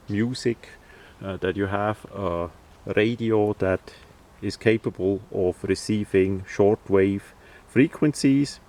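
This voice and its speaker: A man presenting an informal, unscripted how-to demonstration in a halting, hesitant way. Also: fairly low pitch, darkish tone. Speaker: video presenter, radio hobbyist.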